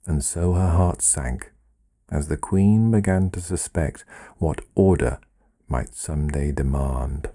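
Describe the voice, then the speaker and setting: Male narrator for literary fiction: very low pitch, unhurried, wry and deliberate. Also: mellow. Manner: measured, deliberate, wry delivery. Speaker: author reading own story. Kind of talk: scripted literary storytelling narration.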